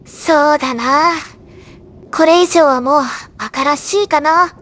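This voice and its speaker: A woman's voice: high voice, unhurried, a bit bright. A woman voicing a playful, possessive, battle-hungry female death god in an anime drama, talking in a teasing, confident way. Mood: confident, friendly.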